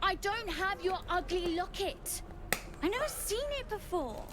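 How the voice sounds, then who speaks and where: A young child thief in a video game, cheeky and defiant. The voice is very high and insolent, with clear ambient noise behind it. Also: female voice, expressive, a little slow. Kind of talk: video game character acting.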